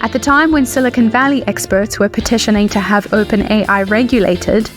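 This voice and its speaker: A woman's voice: medium pitch, fairly quick, soft background music. A woman hosting an interview podcast, speaking in a composed, presenterly way as she introduces and questions a guest.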